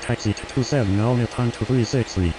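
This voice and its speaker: A man's voice: medium pitch, a little fast, darkish tone, slight background noise, close to an everyday voice, poor audio. A man voicing a businesslike airline pilot in a flight game, using scripted radio phraseology.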